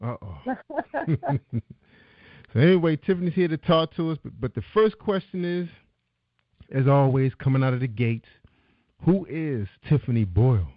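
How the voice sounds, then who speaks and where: A man's voice: medium pitch, animated, moderate pace, pauses often, dry recording, lo-fi sound. A man hosting a talk show, chatting with a folksy, encouraging manner. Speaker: radio talk show host. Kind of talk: casual interview chat.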